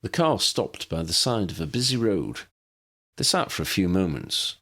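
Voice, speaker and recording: Animated, creaky low-voiced male storyteller. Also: normal speed. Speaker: audiobook narrator. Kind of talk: scripted story narration.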